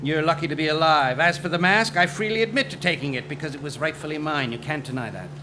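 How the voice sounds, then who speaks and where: A man playing a haughty, evasive gentleman archaeologist in a macabre audio drama, with an arch, sardonic, pompous delivery. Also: on the high side, quick pace, noisy background, close to an everyday voice. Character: haughty, evasive gentleman archaeologist, scornful male rival named Stanley.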